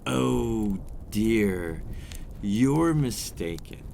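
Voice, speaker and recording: A man's voice: lowish voice, animated, extremely slow, vocal fry, mellow, a little hiss or hum, exaggerated voice. A man playing a brooding, possessive old vampire in an ASMR roleplay, speaking formally and theatrically and dropping into whispers. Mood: menacing, possessive.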